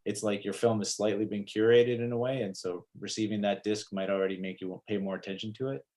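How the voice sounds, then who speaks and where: A man's voice: fairly low pitch, level tone, quick pace, a little mellow. A man on a video panel speaking thoughtfully about film labels, using "like" as filler. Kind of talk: casual panel discussion.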